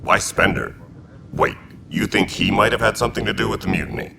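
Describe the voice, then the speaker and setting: A man's voice: deep voice, fairly quick, gravelly, very noisy. An actor playing a frank male alien militia commander from an old military family.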